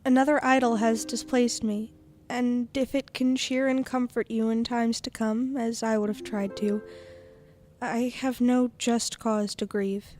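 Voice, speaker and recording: A woman's voice: on the high side, little pitch movement, a little slow, a little mellow, close to an everyday voice. A woman playing a sorrowful, dignified young fiancée in melodramatic radio acting. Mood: sad reproach.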